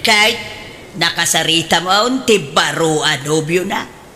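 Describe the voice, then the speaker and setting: A woman's voice: on the low side, measured pace, sharp tone, a little hiss or hum. A woman playing a pious, nagging aunt in an Ilocano radio comedy drama, speaking emphatically with an Ilocano accent. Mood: reproachful, nagging.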